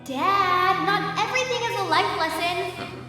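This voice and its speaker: A woman's voice: very high voice, a little slow, pushed and bright, faint music behind, full character voice. A woman voicing a sweet, earnest, squeamish little girl in a childlike way for a game. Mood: cheeky. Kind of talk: dramatic game voice acting. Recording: heavy echo and long reverb.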